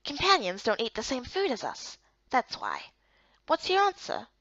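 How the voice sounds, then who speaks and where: A woman's voice: sits high, huge pitch swings, normal speed, a bit bright, no room sound, dull audio, heavily acted. A woman voicing a patient, helpful male guild guide in a visual novel game, speaking politely and instructively. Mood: polite, questioning.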